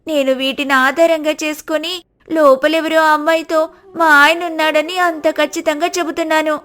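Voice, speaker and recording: A woman voicing a naive, melodramatic village wife who laments loudly in a children's moral story. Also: high-pitched, level tone, normal speed. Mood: aggrieved. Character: foolish wailing village wife, naive and dramatic.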